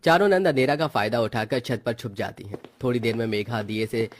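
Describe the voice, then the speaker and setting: A man's voice: mid-range voice, a little fast. A man narrating a scripted story as the storyteller.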